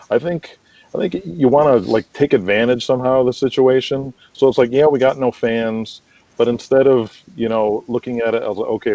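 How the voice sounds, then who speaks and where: A man speaking as a guest in a casual conversational interview, chatty and quick to laugh, on muffled lo-fi audio. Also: medium pitch, mellow, dry recording. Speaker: podcast guest, wrestling promoter.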